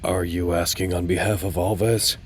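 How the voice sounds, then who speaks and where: A man's voice: low-pitched, fairly even intonation, normal speed, slight background noise. A man playing a stubborn, unimpressed holdout in an audio drama, speaking tersely and firmly. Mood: firm, guarded. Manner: terse, firm.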